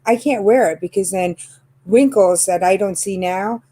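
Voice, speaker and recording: Chatty fifty-year-old woman, mellow voice. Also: mid-range voice, moderate pace. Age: 50s. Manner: chatty, lots of fillers and laughs. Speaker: channel host. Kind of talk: casual chat about makeup.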